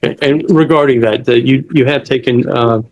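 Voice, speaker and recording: A man questioning witnesses as a defense attorney in a courtroom, halting and measured. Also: mid-range voice, highs cut off.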